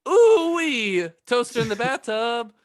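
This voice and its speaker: A man's voice: very high voice, big pitch swings, takes it slowly. A man co-hosting a podcast with casual, joking banter. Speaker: podcast co-host.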